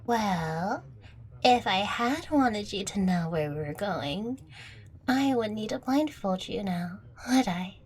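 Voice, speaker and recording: A woman's voice: mid-range voice, animated, takes it slowly, darkish tone, breathy edge. A woman playing a playful, affectionate young catgirl girlfriend in an intimate audio roleplay, teasing, coaxing and tender with the listener. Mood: teasing, secretive.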